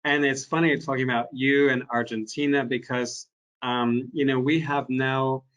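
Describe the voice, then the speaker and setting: A man co-hosts a virtual company event over a video call. His voice is at a middle pitch, and he talks with chatty enthusiasm, restarting sentences now and then. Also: flat delivery, moderate pace, a bit bright, lo-fi sound. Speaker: co-founder and event host.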